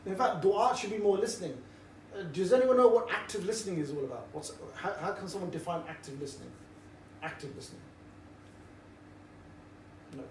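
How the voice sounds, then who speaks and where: A middle-aged man, giving a relaxed, jovial briefing to a group and mixing in cheeky banter. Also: high voice, fairly quick, long pauses. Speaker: street preaching trainer. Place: indoor room with a group. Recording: roomy echo, distant mic, faint background noise.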